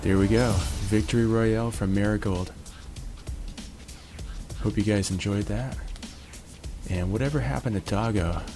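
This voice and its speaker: Deep-voiced man casually narrating gameplay. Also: fairly even intonation, a little slow, pauses often, music in the background. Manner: laid-back, jokey, chatty. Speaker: gaming channel host. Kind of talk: relaxed gameplay commentary. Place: home.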